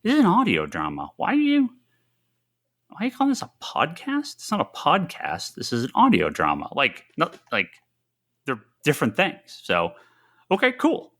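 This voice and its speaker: Male podcast co-host, bright forceful voice, very animated pitch, stumbling and repetitive, casual chat. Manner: halting, repeats words, mimics own reactions in mock quotes. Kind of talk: casual comics discussion.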